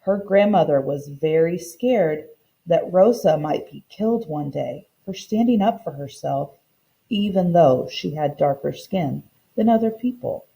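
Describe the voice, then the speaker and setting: Mellow, expressive woman reading to children. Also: on the low side, measured pace, gravelly, poor audio. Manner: simple, explanatory teacher talk addressed to young children. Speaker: teacher reading a picture book aloud. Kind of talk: children's read-aloud with explanatory commentary.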